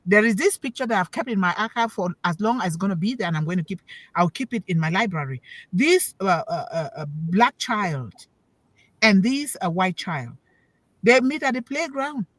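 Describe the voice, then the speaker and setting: A woman's voice: medium pitch, lively intonation, moderate pace, dry recording, poor audio. A woman speaking on a talk show panel as a parent, giving an emphatic, preachy talk that repeats key words for stress and puts questions to her listeners. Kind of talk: motivational talk.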